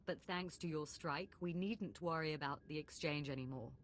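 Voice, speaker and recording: An actress playing a poised, courteous imperial diplomat in a video game, speaking in a measured, formal way. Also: female voice, low-pitched, slight background noise, lightly acted. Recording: game audio with faint ambience.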